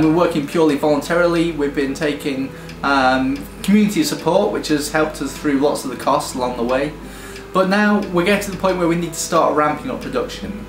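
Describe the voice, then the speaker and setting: A British man pitching his game studio's project, talking enthusiastically with frequent ums and restarts. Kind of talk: earnest crowdfunding pitch. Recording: echoey room with faint music.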